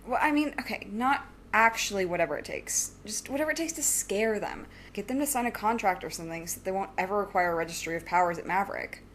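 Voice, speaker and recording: Casting: actress as young female villain-activist, defiant and insistent, mid-pitched, audio drama, slightly noisy ambient recording. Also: animated, fast talker, hardly any pauses, fairly bright tone, natural voice. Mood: determined. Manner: insistent, evasive, earnest. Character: driven, defiant young woman supervillain, a student and inventor.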